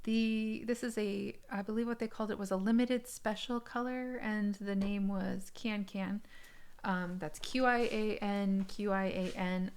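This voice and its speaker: A woman giving a casual, unscripted unboxing review, describing small details in a hesitant, piece-by-piece way. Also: medium pitch. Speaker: doll collector reviewing an unboxing.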